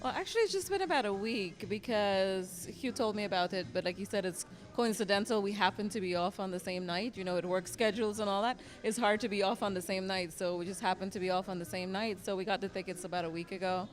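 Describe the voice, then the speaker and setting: A woman's voice: medium pitch, faint music behind. A woman explaining to an interviewer how she got her theatre tickets. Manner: quick, chatty explanation.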